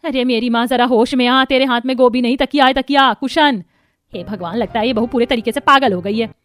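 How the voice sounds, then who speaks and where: Woman as cartoon mother-in-law, Indian accent, middle-aged, high voice, rapid, scolding, flustered, exclaiming. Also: a bit bright, natural voice. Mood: exasperated. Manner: dramatic, exclaiming, nagging. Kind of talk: animated moral-story voice acting.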